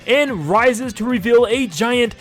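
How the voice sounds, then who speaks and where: A man giving enthusiastic video game retrospective commentary as a host, with emphatic, gushing delivery and drawn-out stressed words. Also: very high voice. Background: game soundtrack music under the voice.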